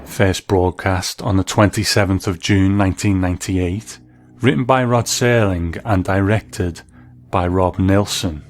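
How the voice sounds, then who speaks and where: A man's voice: deep voice, steady tone, a little slow. A man hosting and narrating a scripted commentary on classic television and radio. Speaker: host.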